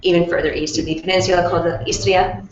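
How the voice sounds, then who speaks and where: A Brooklyn-raised woman in her forties, her New coming through as she answers interview questions. She talks quickly and warmly and fills the gaps with um and uh. Her voice sits a bit low, muffled slightly, and echoes off the room. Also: flat delivery, slight background noise. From Brooklyn, New York. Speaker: barre studio owner, interview guest. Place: fitness studio lobby.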